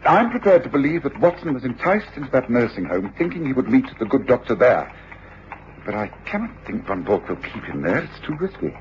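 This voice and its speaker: A man's voice: mid-range voice, big pitch swings, a little fast, lightly acted. Male actor as brilliant English detective, precise, commanding, very varied intonation, radio drama, muffled lo-fi sound. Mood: urgent, reasoning. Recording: muffled, noisy old radio drama recording.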